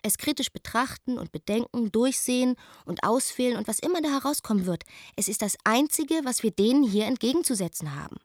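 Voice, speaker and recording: A woman's voice: medium pitch, no echo, studio quality. A woman playing a spirited, restless young woman who reads her letter to a friend in a radio drama, telling it eagerly and expressively. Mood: fervent.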